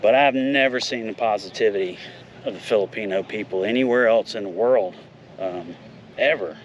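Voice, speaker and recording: A man's voice: on the low side, normal speed, dull audio, a little hiss or hum. A man in his fifties talking in a casual, rambling vlog, candid and a little profane. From United States. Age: 50s. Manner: candid, rambling, mild swearing. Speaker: vlogger.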